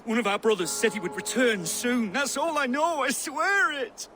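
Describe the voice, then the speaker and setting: An actor playing a terrified, cowering priest in a video game, panicky and pleading. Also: male voice, very high-pitched, big pitch swings, normal speed, pushed and bright, a little hiss or hum, full character voice. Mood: frightened, pleading.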